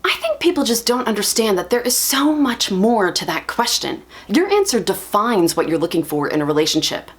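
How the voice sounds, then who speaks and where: A woman's voice: medium pitch, sing-song, natural voice. A woman performing a dramatic monologue as a lovelorn young bookworm, confessional and self-deprecating, speaking with earnest emphasis. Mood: passionate, earnest. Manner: earnest, emphatic, halting with feeling.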